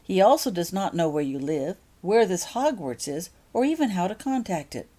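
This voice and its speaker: Woman reading a stern mother, animated delivery. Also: lowish voice, normal speed. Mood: firm, demanding. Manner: firm, formal, demanding. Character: stern, formal, protective wife and mother.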